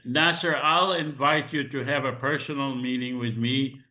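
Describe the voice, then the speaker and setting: A man's voice: mid-range voice, steady tone, normal speed, bright, forceful tone. A man organizing an online meeting, earnestly recounting events and building to firm statements. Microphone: video call.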